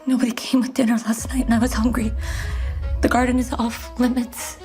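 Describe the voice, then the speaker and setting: A woman acts out a young aristocratic daughter in an audio drama, clear music behind her. She speaks softly and deferentially, hesitant on the surface and quietly sly underneath. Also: fairly high pitch, little pitch movement, brisk, close to an everyday voice. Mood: nervous, evasive. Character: sheltered young noblewoman daughter, meek but quietly sly. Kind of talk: dramatised audio fiction acting.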